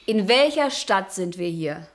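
A woman's voice: medium pitch, expressive, measured pace, natural voice. A woman playing an inquisitive traveller in a radio play, wry and probing in the way she asks questions. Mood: curious. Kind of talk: German radio play acting.

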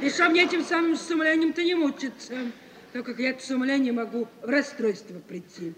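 A woman's voice: high-pitched, brisk, fairly bright tone. An actress playing a coy yet bossy rich provincial merchant woman with folksy, uneducated phrasing in a 1950s Soviet radio play. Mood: demanding. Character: coquettish, blunt rich provincial merchant woman seeking a husband. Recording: old hiss, long hall reverb.

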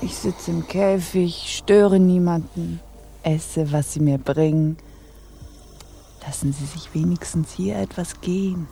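An actress playing a caged, deposed pirate captain in a radio play, speaking formally with languid, teasing irony. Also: female voice, fairly low pitch, fairly even intonation, slow, creaky, mellow, faint music behind. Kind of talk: German radio play acting.

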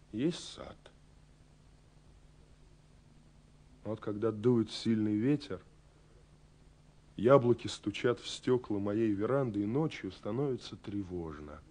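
An actor playing a young, romantic, self-effacing carpenter reading out his love letter in an agitated, halting, confiding way, in a Soviet radio play. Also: medium pitch. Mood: dreamy, hushed. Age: young adult. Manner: agitated, halting, confiding, with swells and hushed drops.

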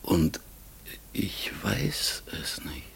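An actor in a radio play playing an anguished outcast talking raven, raspy and breathy, speaking in a halting, lamenting way. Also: male voice, low voice, very animated, a bit bright, in a whisper, exaggerated voice. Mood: despairing. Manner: halting, heavy, lamenting delivery. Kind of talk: German radio play acting.

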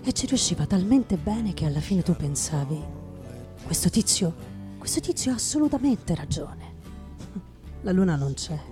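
A woman playing a world-weary, intense male writer in a theatrical radio reading, halting and repeating phrases. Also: fairly low pitch, very animated, music in the background. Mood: wry, weary. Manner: halting dramatic delivery with repeated phrases and pauses. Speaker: stage actress performing a literary monologue.

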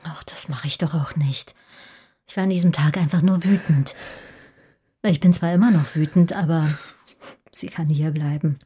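A woman's voice: low voice, fairly even intonation, moderate pace, long pauses, dark tone, slightly rough. An actress playing an angry but forgiving middle-aged mother of a teenager in a radio play. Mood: angry, relenting. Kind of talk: naturalistic radio play acting.

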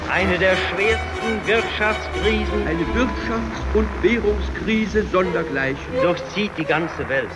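An actor playing a solemn, urgent political orator declaiming in a radio drama. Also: male voice, sits high, takes it slowly, hardly any pauses, loud music behind the voice. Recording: dull old-radio sound, loud backing.

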